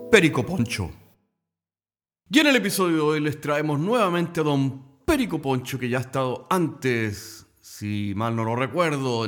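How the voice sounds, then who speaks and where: A man's voice: a little high, a bit bright. A man announcing a comedy show, dry and ironic. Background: guitar music.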